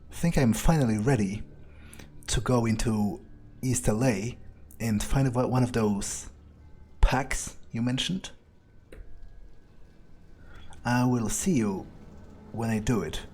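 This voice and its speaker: A man's voice: medium pitch, unhurried, pauses often, a little mellow, a little hiss or hum, close to an everyday voice. A man role-playing a cynical, arrogant vampire in a game playthrough, musing aloud in a casual, hesitant way. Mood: musing, polite. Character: cynical, arrogant male vampire thinking aloud, coolly skeptical. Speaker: let's player role-playing in character. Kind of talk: in-character game playthrough commentary.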